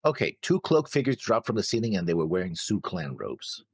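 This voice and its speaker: A man co-hosting a reaction show in casual chat, animated but halting, often correcting himself as he goes. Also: fairly low pitch, slightly rough, no echo.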